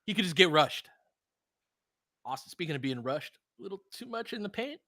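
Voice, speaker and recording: A man hosting a live basketball watch-along, talking as an excitable, swearing fan who also analyzes the play. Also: high voice, a little fast, no room sound, clean studio sound. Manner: profane, excitable fan talk with analytical asides. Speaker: sports podcast host and analyst.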